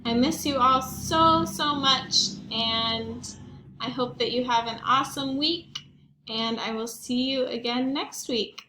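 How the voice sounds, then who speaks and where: A woman's voice: high voice, slow, sharp tone, echoey room, slight background noise, poor audio. A woman reading picture books aloud to young children, expressively and with questions for her listeners. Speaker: children's read-aloud host, teacher or librarian.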